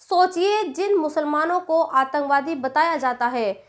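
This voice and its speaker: A woman's voice: sits high, unhurried. A woman presenting scripted political commentary, explaining things directly to the viewer. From India.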